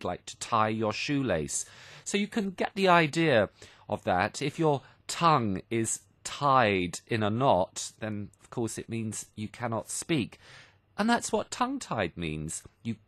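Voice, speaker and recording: A man's voice: mid-range voice, sing-song, unhurried, fairly bright tone, dry recording. A man presenting an English lesson on the radio, explaining phrases simply and didactically with examples and repetition. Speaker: radio programme host and English teacher. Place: radio studio.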